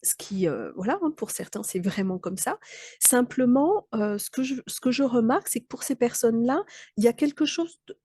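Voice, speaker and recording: Woman w a bit of a cold talking slowly, lots of ums, dry close sound, higher voice. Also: big pitch swings, dark tone, husky, no room sound. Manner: thoughtful, hesitant, many fillers and restarts. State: slightly hoarse from a cold. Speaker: mentor and trainer. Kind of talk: explanatory talk to camera.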